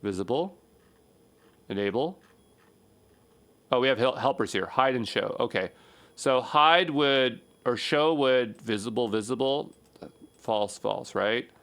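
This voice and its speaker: A man's voice: mid-range voice, lively intonation, slow, pauses often, a bit bright. A man giving an unscripted screencast programming tutorial, thinking aloud in a casual, rambling way and often checking himself with a questioning tag. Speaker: programming instructor.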